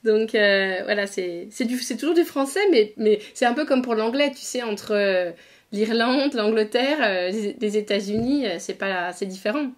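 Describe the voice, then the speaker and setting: A woman's voice: mid-range voice, normal speed. A woman from northeast France hosting a podcast interview, chatting in an easygoing way and often murmuring agreement. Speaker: podcast host. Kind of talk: casual conversational interview.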